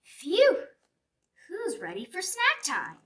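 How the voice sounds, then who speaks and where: A woman's voice: very high voice, wildly swooping pitch, slow pace, fairly bright tone, pitched above the performer's own voice, heavily acted. A woman voicing a cheerful, scatterbrained female kindergarten teacher from a children's storybook, eagerly addressing small children. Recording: distant mic with music behind.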